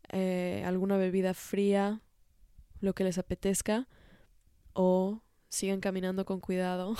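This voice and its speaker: A young woman hosting a podcast, talking in a relaxed, reflective way with many fillers, a little congested from a cold. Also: medium pitch, clean tone, no room sound, studio quality. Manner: warm, unhurried, frequent fillers and soft laughs. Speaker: podcast host. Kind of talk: reflective casual monologue.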